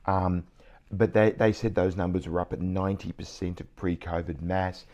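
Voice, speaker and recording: A croaky, deep-voiced man in middle age hosts his vlog from indoors late at night. His tone is dark and mellow, and he keeps it hushed. He still brightens with excitement when a big number comes up. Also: moderate pace. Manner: enthusiastic, excitable about numbers. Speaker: CEO and vlog host. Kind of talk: casual news commentary vlog.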